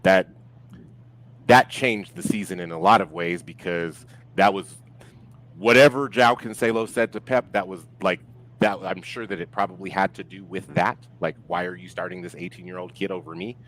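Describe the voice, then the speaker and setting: A man chatting casually about sport as a podcast guest, speaking in a halting, reflective way and circling back to rephrase. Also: deep voice, fairly even intonation, fairly bright tone, rough recording. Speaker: podcast guest discussing football.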